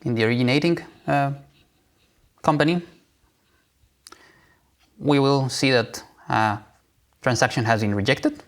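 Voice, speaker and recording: A man presenting a live software demo, explaining it informally step by step. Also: mid-range voice, unhurried, long pauses.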